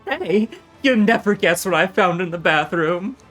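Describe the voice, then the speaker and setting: Woman doing an excitable, earnest, dim dude. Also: on the low side, a little slow, soft background music. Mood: eager. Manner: earnest, rambling. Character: excitable, earnest, dim male buddy in dating game. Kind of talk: voiced reading of comedy game dialogue.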